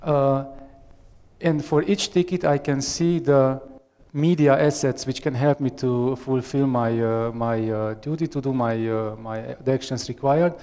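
A man in his thirties with a Romanian accent gives a live software demo on a headset mic, hesitant and full of fillers. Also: medium pitch, fairly even intonation, a little slow. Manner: hesitant, many fillers and restarts. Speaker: software architect giving a demo. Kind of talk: live tech demo presentation. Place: large conference room with audience. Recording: long reverb of a large hall.

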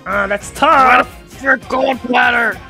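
Creaky, cocky bird gang leader put on. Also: mid-range voice, slow, over music. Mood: bossy, irritated. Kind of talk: improvised anime-parody fandub.

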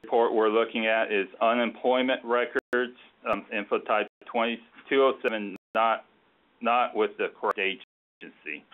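A man presenting informally on a conference call, explaining procedures in a halting, hesitant way. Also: lowish voice, steady tone. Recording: narrowband tinny phone line.

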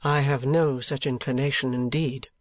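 A man as an eager, polite young gentleman. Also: mid-range voice, fairly even intonation, normal speed, dry recording, natural voice. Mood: hurried, polite. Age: young adult. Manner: formal, deferential, earnest.

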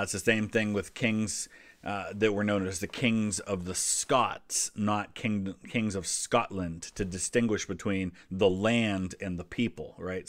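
A man's voice: low voice, no echo. An American man in his forties giving casual, explanatory reaction commentary with offhand asides. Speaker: history channel host reacting to a quiz video.

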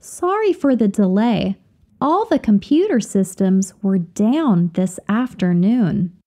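A woman reading example sentences slowly and carefully, repeating each phrase for learners to copy. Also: medium pitch, expressive, dark tone. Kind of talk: slow, clear drill reading of example phrases.

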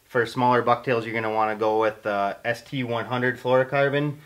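A man's voice: medium pitch, fairly even intonation, a little fast, a bit bright. A man in his forties hosts a fishing interview in a casual, chatty way, rattling off lure names before putting a question to his guest. He is recorded on a camera mic indoors in front of a banner. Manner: casual, listing lures, asking questions. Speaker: host of a fishing channel.

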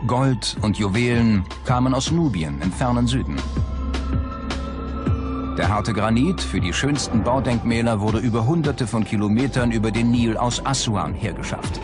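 A man reading scripted documentary narration as the narrator of a history programme. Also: medium pitch.

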